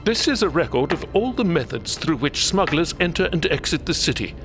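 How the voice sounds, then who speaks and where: A man's voice: fairly high pitch, big pitch swings, fairly quick. A man playing a cold, zealous abbot villain in an audio drama, pompous, imperious and menacing. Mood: cold menace. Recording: music clearly behind.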